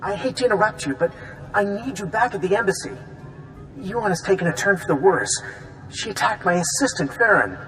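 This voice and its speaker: A man's voice: sits high, animated, a little fast, bright, forceful tone, faint music behind, low-quality recording. An actor playing an anxious, courteous male embassy official in a video game, earnest and pleading in manner. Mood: worried.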